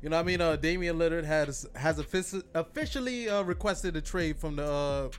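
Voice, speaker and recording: Easygoing young co-host, high voice. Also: male voice, a little fast. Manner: easygoing, hesitant with many fillers. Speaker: podcast co-host. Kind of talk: casual podcast chat.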